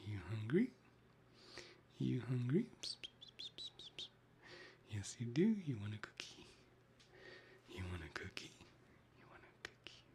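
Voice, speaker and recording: A man with a Chicago Midwestern accent, whispering in a soft and chatty way during a live ASMR stream. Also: mid-range voice, vocal fry.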